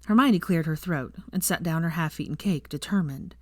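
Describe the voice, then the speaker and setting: Low-pitched woman narrating, dry close sound. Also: moderate pace, no echo. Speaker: fan fiction narrator. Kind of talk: scripted audiobook narration.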